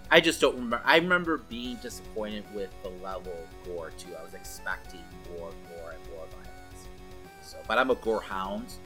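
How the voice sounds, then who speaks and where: A man's voice: low voice, moderate pace, pushed and bright, faint music behind. A man in his forties co-hosting a podcast, debating films casually in a blunt, teasing way with some swearing. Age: 40s. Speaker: podcast co-host.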